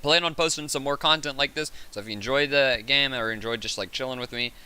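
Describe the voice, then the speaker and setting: Raspy, bright-voiced man streaming a game. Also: mid-range voice, fast talker. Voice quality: raspy, sore voice. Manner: rambling, thinking aloud. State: hoarse from hours of talking. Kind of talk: casual livestream chat while gaming.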